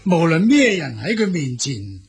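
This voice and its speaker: A man with a Cantonese accent playing a smooth-talking, boastful military envoy in an audio drama, speaking formally and emphatically with a husky, gravelly voice. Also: a little high, very animated, faint music behind, heavily acted. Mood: admiring, grand. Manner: formal, emphatic, deliberate praise and veiled warnings.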